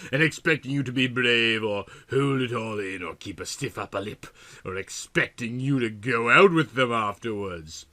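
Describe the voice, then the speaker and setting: A man's voice: mid-range voice, pushed and bright, no echo. A man narrating a comic story in a hammy, dramatic, emphatic audiobook style. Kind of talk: theatrical comic audiobook reading.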